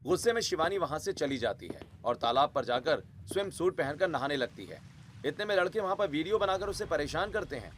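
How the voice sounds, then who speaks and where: A male narrator tells a scripted family tale in dramatic storytelling fashion. His voice sits a little high for a man, and music plays behind him. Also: expressive, a little fast, a bit bright, slight background noise.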